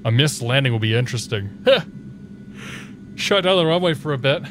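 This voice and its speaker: A man's voice: medium pitch, expressive, normal speed. Slightly tipsy male streamer, loose chatty delivery over audible background noise. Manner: chatty, rambling, reads his own radio calls. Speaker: flight sim streamer. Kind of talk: casual gameplay commentary.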